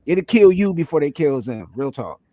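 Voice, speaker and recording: Middle aged guy, kinda high voice, slangy n emphatic, repeats himself, real dry sound. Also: big pitch swings, moderate pace, mellow, no echo. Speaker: performer and panel guest. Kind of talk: casual, heartfelt interview talk.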